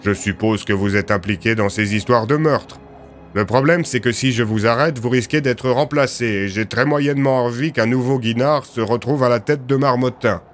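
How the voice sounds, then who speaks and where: A man's voice: medium pitch, normal speed, soft background music, natural voice. A man playing a stern lawman in an audio drama, dry, firm and formal, with a sarcastic, threatening edge. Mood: stern, warning.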